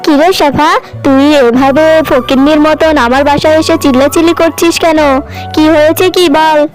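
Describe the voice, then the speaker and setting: Woman doing a sharp-tongued cartoon mother. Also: sits high, steady tone, moderate pace, close to an everyday voice, poor audio. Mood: scolding. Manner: scolding, sharp-tongued, firm. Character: poor, scolding but loving mother. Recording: faint background music.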